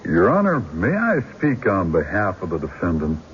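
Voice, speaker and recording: An actor playing a folksy, wry country lawyer telling stories in court in a radio drama. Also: male voice, low voice, wildly swooping pitch, moderate pace. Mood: wry, amused. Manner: folksy storytelling with dry humor. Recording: dull, noisy old radio broadcast.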